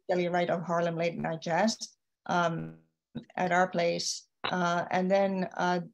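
A woman's voice: fairly low pitch, a little mellow, low-quality recording. A woman, introducing herself on a video call, hesitant with many ums and restarts. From Finland. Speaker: community organization leader. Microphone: video call, laptop camera.

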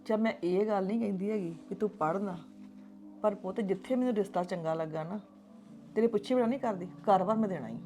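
A woman's voice: mid-range voice, fast, dark tone, faint music behind, lightly acted. A woman playing a mother who coaxes her daughter insistently to marry. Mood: coaxing. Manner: coaxing, insistent.

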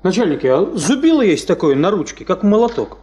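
A man's voice: sits high, sing-song, fairly quick, a little mellow. An actor playing a cheeky, eager young male mechanic in a 1970s radio drama, slangy and punchy.

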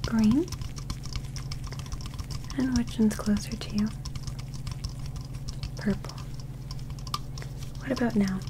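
A young woman whispering gently and unhurriedly in an ASMR medical roleplay, playing an examiner close to the microphone. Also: on the high side, steady tone, extremely slow, pauses often, background noise. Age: young adult. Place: small indoor set.

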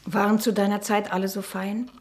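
An actress plays a sheltered ageing niece in a radio play. She sounds wistful and shy, with a hesitant, subdued delivery at a middle pitch. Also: flat delivery, fairly quick, natural voice. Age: middle-aged. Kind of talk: German radio drama acting.

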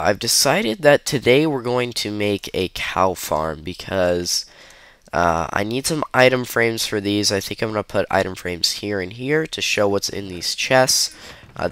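This is a young man with a slightly blocked-up nose, narrating his gameplay in an easy, wandering way. His voice sits a little low and comes across smooth and clear. The recording is close and dry, with no room sound. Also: a bit bright, dry recording. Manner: loose, rambling, lots of ums and uhs. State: stuffy, under the weather. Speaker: gaming video host. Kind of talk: casual gameplay commentary.

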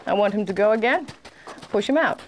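A woman horse trainer giving quick, encouraging commentary while working a horse in an outdoor sand arena. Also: medium pitch, lively intonation, a little hiss or hum, poor audio.

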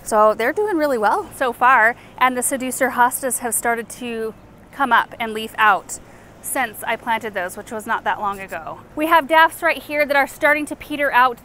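A woman's voice: a little high, bright, forceful tone, a little hiss or hum. A woman in her thirties hosting a casual walking garden tour outdoors, chatty and enthusiastic as she points out plants. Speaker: garden show host.

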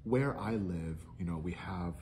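Earnest man, low voice, interview. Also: unhurried, darkish tone, a little hiss or hum. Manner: earnest, reflective, gestures while talking. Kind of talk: casual interview answers. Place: large indoor lounge with tables.

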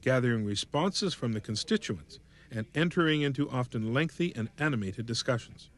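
A man narrating a documentary in a measured, explanatory way, reading a script. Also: mid-range voice, animated.

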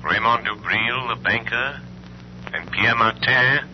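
An actor playing a mysterious, menacing crime fighter, speaking deliberately and sardonically in 1930s radio drama style. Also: male voice, medium pitch, slight background noise, lightly acted. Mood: cold interrogation. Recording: muffled, humming old radio recording.

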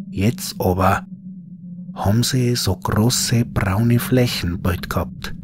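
A man with a Bavarian accent narrating a scripted horror story in dialect, measured and full of pauses, with phrase endings trailing into breath. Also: fairly low pitch. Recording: clearly noisy ambient background.

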